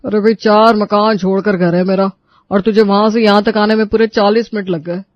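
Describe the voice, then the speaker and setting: A woman's voice: mid-range voice, fairly even intonation, moderate pace, dry recording, muffled recording, close to an everyday voice. A woman voicing a cheeky, impatient male drinking buddy who teases his friend in a comic audio story. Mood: teasing. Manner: teasing, impatient.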